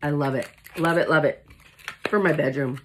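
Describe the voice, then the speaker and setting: A woman in her forties who has lost her voice, talking hoarsely with a cough in a chatty, gushing unboxing vlog, seated in a small room in front of a phone camera. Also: sits low, normal speed, fairly bright tone, slight background noise. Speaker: independent product consultant. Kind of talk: casual product unboxing vlog. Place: small indoor room with shelves, seated at a table.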